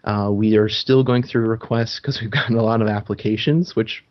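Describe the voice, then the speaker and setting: A man's voice: on the low side, fairly even intonation, normal speed. A man hosting a gaming podcast in casual chat, talking loosely with frequent ums and restarts. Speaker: podcast host. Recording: dull lo-fi sound.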